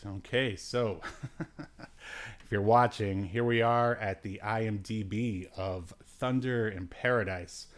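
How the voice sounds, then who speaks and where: A man's voice: lowish voice, fairly even intonation, takes it slowly. A man hosting a podcast with casual, rambling review chat, hesitant and given to dry, joking asides. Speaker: podcast host.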